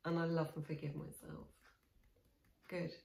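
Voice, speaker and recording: A woman in her forties guiding a tapping session, speaking slow, soothing, repeated affirmations with sighs. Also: low voice, little pitch movement, vocal fry, warm tone. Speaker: EFT tapping coach. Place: small room indoors. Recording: echoey small room.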